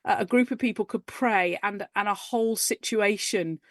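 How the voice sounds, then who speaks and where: A woman in her forties talking as a guest on a radio interview, eager and animated, and quick to laugh. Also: mid-range voice, dry recording, clean studio sound. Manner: animated, enthusiastic, laughs easily. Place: radio studio.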